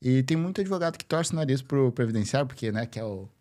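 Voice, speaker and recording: A man hosting a casual interview, talking haltingly and anecdotally with frequent tag questions. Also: medium pitch, expressive, dark tone. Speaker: interview host.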